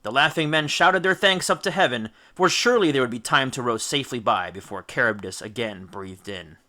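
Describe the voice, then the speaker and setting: A man reading an epic story aloud as an audiobook, with theatrical, emphatic delivery and deliberate dramatic stresses. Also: mid-range voice, bright, forceful tone. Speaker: audiobook narrator. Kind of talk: dramatic scripted storybook narration.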